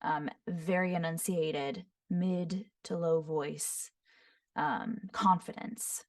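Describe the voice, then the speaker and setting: A woman voice actor teaching voice impressions, describing each voice in short phrases and often breaking into quick character demos. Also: low-pitched, steady tone, darkish tone. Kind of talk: voice impression tutorial. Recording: voice somewhat far from the mic.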